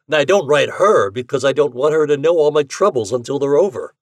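A man reading an audiobook, voicing a gruff, desperate young male bookmaker who talks bluntly in slang. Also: medium pitch, animated, a little fast, no room sound, studio quality, pitched above the performer's own voice, natural voice. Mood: anguished. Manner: blunt slangy talk, rising to pleading.

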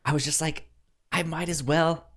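A man hosting a podcast, chatting casually about a film in a halting, stammering way that often repeats words. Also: fairly high pitch, steady tone, a bit bright. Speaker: podcast host.

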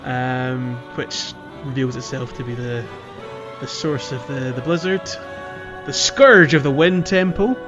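A man co-hosting a casual video game podcast, recapping with animated, dramatic emphasis. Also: medium pitch, a little slow, muffled recording. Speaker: podcast co-host. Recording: music playing under the voice. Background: background music.

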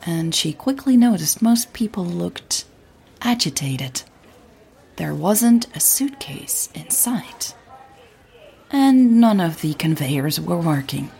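A woman with a husky, breathy voice narrating a scripted audio drama story. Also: fairly low pitch, dark tone.

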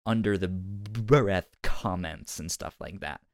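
A man's voice: low voice, takes it slowly, dry recording, studio quality. A man co-hosting a review podcast in casual conversation, rambling and often repeating words as he goes. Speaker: podcast co-host.

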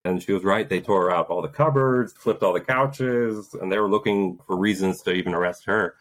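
Low-voiced man in his thirties, earnest guest storyteller, reflective, laughs now and then. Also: normal speed, low-quality recording. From Poland. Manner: earnest, reflective, laughs while telling stories. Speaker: guest, Green Beret and author. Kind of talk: casual interview storytelling.

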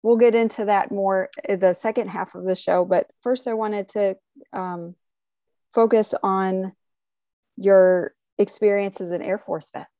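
A woman's voice: medium pitch, steady tone, unhurried, mellow, no echo, clean studio sound. A woman hosting a radio interview, asking questions in a friendly, chatty way. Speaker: radio host.

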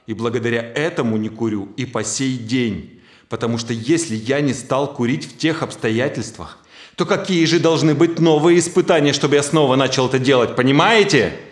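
A man's voice: lowish voice, lightly acted. An actor playing an agitated ex-smoker father, telling his ordeal as an intense theatrical monologue on a noisy stage recording. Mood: hushed, shaken, then triumphant. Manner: intense, dramatic storytelling.

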